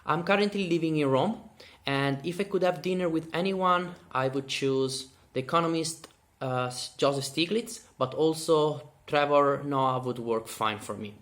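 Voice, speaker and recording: A man's voice: fairly high pitch, moderate pace, a bit bright. A man with an Italian accent, giving a casual self-introduction as a researcher, with some hesitations. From Italy.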